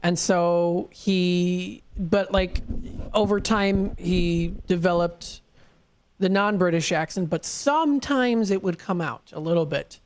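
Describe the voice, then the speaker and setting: Hesitant friendly radio co-host man. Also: sits high. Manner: friendly, hesitant with many fillers, reads numbers slowly. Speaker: radio show co-host and vendor. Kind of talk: live radio call-in chat.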